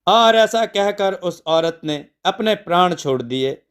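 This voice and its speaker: A man narrating a scripted devotional mythological story in a measured, deliberate storytelling way. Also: sits high, expressive, fairly bright tone, clean tone.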